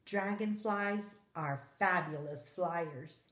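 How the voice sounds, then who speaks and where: Female read-aloud for children's nonfiction: slightly low voice, slow careful storytelling delivery, noticeable room echo. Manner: measured, expressive storytelling for children.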